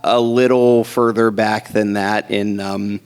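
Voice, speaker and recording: A man's voice: fairly low pitch, level tone, slow, a little mellow, clean tone. A man, a voice actor on a convention panel, chatting casually, rambling with many fillers and restarts and chuckling often. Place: convention hall. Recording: convention hall reverb.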